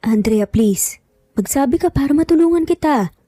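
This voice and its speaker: A woman's voice: high voice, lively intonation, normal speed, warm tone, dry recording, studio quality, soft background music. A woman voicing a concerned, doubting young man in an audio romance, earnest and questioning. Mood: worried. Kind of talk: dramatised audio romance storytelling.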